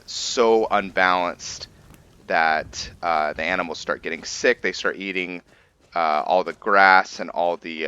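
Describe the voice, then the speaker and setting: Very low-voiced man musing over gameplay. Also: pushed and bright, clean tone. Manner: musing, explanatory. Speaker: host of a gaming roleplay series. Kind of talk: casual let's play commentary.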